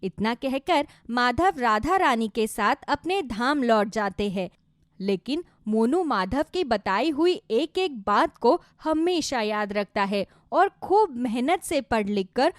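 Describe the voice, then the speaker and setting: High, animated woman storyteller. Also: slow, dry recording, studio quality. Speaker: narrator. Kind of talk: scripted children's story narration.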